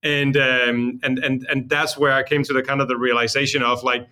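A man's voice: mid-range voice, level tone, normal speed, sharp tone. A man from Denmark speaking as an interview guest and company founder, explaining things in an informal, conversational way.

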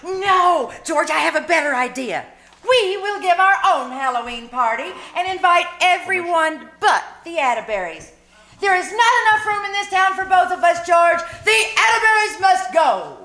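A woman playing a sharp-tongued, scheming housewife in old-time radio comedy, with sarcastic, emphatic delivery in a live performance. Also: extremely high pitch, sing-song, normal speed, exaggerated voice. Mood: indignant, scheming. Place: live stage performance at a winery. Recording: live roomy recording, faint noise.

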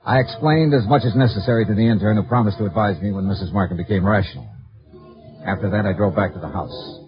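An actor playing a tough, self-assured insurance investigator in a 1950s radio detective drama, with clipped narration and dialogue. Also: male voice, fairly low pitch, fast, music in the background, close to an everyday voice. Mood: terse narration. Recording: muffled vintage 1950s radio recording.

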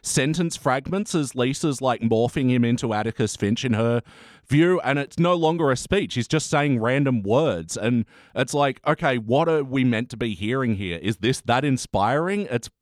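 A man's voice: medium pitch, lively intonation, normal speed, no echo, clean studio sound. A man hosting a casual review chat podcast, blunt and given to swearing. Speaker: podcast host.

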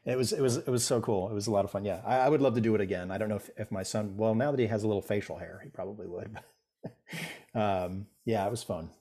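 A man's voice: fairly low pitch, quick pace, dark tone, breathy edge. A middle-aged man, a guest in an interview, telling stories in a rambling, self-deprecating way with many restarts. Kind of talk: casual interview chat.

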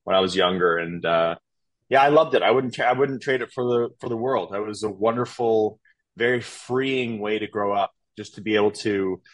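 A man's voice: lowish voice, normal speed, rough recording. A man in his thirties, a podcast guest telling his story in a casual, rambling way with lots of fillers and restarts. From Canada.

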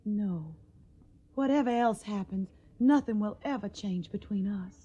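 A woman's voice: medium pitch, measured pace, warm tone, breathy edge, lo-fi sound. A woman playing an earnest, tender young farm woman with a Southern accent in a radio drama.

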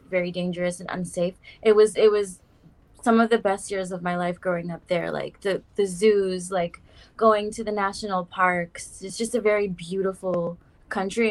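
A woman's voice: fairly low pitch, normal speed. A young woman from South Africa, now, chatting as a guest on a casual podcast and using lots of "like" and "um". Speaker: guest artist. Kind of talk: casual interview chat.

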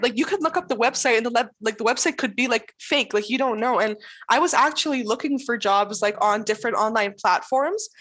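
A woman chatting casually in an interview, talking in quick bursts full of "like" and laughing often. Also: a little high, a bit bright, husky. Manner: chatty, fills speech with "like". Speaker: interviewee, new employee. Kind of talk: casual conversation.

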